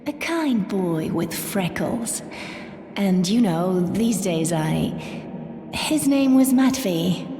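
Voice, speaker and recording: A woman's voice: medium pitch, expressive, slow pace, mellow. A woman playing a sweet, naive young girl in theatrical game dialogue, with a hesitant, dreamy manner. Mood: wistful. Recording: echoey hall, faint noise.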